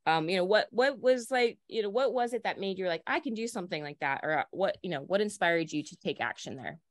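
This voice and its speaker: A woman's voice: mid-range voice, expressive, a little fast, no echo. A woman moderating an online panel, asking questions in a hesitant way full of fillers and restarts, over a video call. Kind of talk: casual conversational questions.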